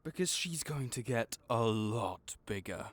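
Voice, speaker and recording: A man playing a kind, patient veterinarian for magical creatures in a comforting audio roleplay, explaining things gently and encouragingly. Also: mid-range voice, lively intonation, measured pace. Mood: practical, helpful. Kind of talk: comforting audio roleplay, one-sided conversation.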